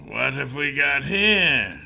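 A man acting out gruff, menacing enforcers in a dramatized fantasy audiobook, with a gravelly voice and a sneering manner. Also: mid-range voice, big pitch swings, slow, sharp tone, full character voice. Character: gruff, bullying male enforcer and his jeering cronies.

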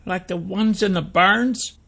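Male narrator as little boy, high voice, solemn, earnest, simple phrasing, fantasy audiobook. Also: slow pace, vocal fry, fairly bright tone, slightly rough, heavily acted. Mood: innocent. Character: frightened little boy, plain-spoken and solemn.

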